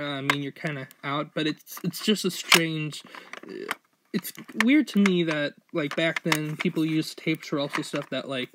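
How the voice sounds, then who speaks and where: A young man with a slightly higher-pitched voice talks off the cuff, showing things to the camera. His delivery is halting and rambling, full of hesitations. The handheld home recording has clear background noise. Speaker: vlogger showing a collection. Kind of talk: casual, unscripted show-and-tell. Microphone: handheld phone or camera mic. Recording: noisy, fuzzy handheld amateur recording.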